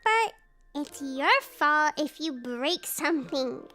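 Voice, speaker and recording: A woman playing a shy, eccentric teenage schoolgirl in a visual novel, with a girlish voice and a soft, hesitant way of speaking. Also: animated, slow pace, faint music behind, full character voice. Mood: playful. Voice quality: very high, girlish. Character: shy, eccentric teenage schoolgirl science club member, sweet and anxious. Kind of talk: visual novel character acting.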